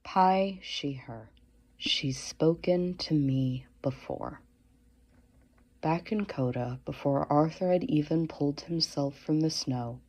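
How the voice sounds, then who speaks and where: A woman with a hushed, breathy voice giving dramatic scripted narration in a brooding, questioning way. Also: low-pitched.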